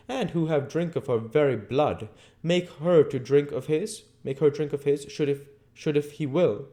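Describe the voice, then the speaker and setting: A man voicing a grave, sorrowful old foreign professor from a gothic novel, speaking halting, formal broken English. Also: mid-range voice, mellow, voice pushed up, close to an everyday voice.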